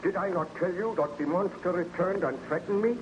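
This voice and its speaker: Highish, melodramatic haunted baron played by a man. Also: a little fast, dull audio, lightly acted. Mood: weary, troubled. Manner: earnest, melodramatic. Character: haunted, fearful baron obsessed with destroying his creation. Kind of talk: old-time radio drama acting. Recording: noisy old radio recording.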